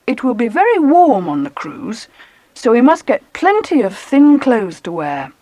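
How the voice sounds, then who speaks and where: A woman playing a firm, cheerful mother in a children's audiobook drama, with a brisk, instructing manner. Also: fairly high pitch, wildly swooping pitch, dark tone, no room sound.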